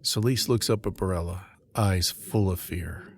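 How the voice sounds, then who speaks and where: A man's voice: deep voice, moderate pace. A man giving scripted narration for an audio drama, setting each scene as the narrator.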